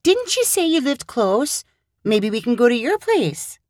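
A woman voicing an outspoken, caring young woman who speaks brightly and emphatically. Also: fairly high pitch, big pitch swings, unhurried, no room sound, clean studio sound, pitched above the performer's own voice. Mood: cheerful.

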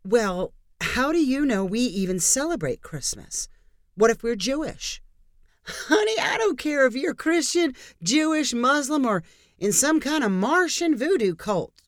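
A pushy, cheerful middle-aged neighbor woman, put on by a woman reading aloud, who bursts out loud and high with sweeping, lively swings. She gushes and steamrolls anyone in her way, and the reading sounds dry and close. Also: a little slow, dry recording. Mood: gushing. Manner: loud, gushing, overbearing.

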